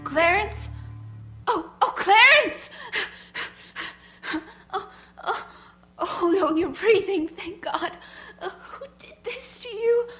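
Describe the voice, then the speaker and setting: A woman playing a terrified, bewildered young woman in an audio drama, stammering and gasping with a trembling, breathy voice. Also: very high-pitched, animated, slow, sharp tone, faint music behind, heavily acted. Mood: distraught, frantic.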